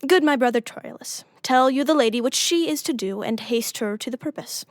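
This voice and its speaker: A woman's voice: high-pitched, fairly quick, no echo, clean studio sound. A woman playing a courteous, sympathetic young Trojan prince, with formal verse delivery in a Shakespearean audio drama. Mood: brisk, formal.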